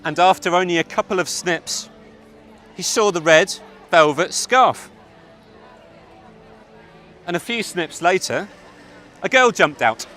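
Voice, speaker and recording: Bright, forceful male storyteller. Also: high-pitched, expressive, a little hiss or hum. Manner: theatrical, deliberate, dramatic pauses. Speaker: storyteller narrator. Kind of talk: live fairytale narration for children. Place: outdoor public event.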